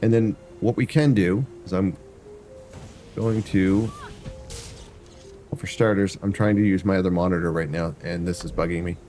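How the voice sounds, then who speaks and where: A man's voice: low voice, fairly even intonation, normal speed, pauses often, mellow, soft background music. A man with a stuffy nose chatting casually and rambling while he walks through a game on a live stream. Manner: rambling, thinks aloud, hums to himself. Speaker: variety streamer. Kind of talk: casual gameplay commentary.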